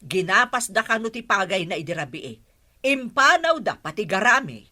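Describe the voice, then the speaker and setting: A woman's voice: medium pitch, very animated, moderate pace, bright, forceful tone. A woman with an Ilocano Filipino accent acting an earnest acting village captain in a radio comedy-drama. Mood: businesslike, indignant.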